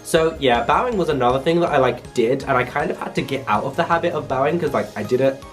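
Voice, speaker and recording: A man's voice: medium pitch, expressive, fast talker, soft background music. A young man from England talking casually to camera in a vlog, chatty and full of "like" fillers. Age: young adult. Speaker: vlogger.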